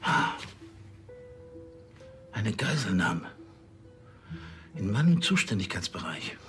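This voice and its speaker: An actor playing a self-important, bossy police chief in a TV crime comedy, pompous and hesitating over grand phrases. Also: male voice, fairly low pitch, very animated, slow, fairly bright tone, soft background music, heavily acted. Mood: smug.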